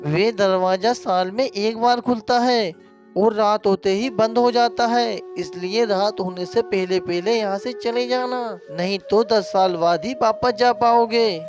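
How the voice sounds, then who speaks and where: A man's voice: high voice, measured pace. A man voicing a grateful townsman in a fairy tale, explaining things earnestly over music. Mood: grateful, advising. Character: grateful, helpful townsman of a magic city. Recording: music behind the voice.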